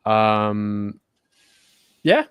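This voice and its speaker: A man's voice: fairly low pitch, sing-song, very slow, smooth, no echo, highs cut off. A man raised in Spain co-hosting a casual finance talk show, chatting loosely, laughing often and correcting himself mid-sentence. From born in Germany, raised in Spain. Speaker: co-host. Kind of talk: casual chat.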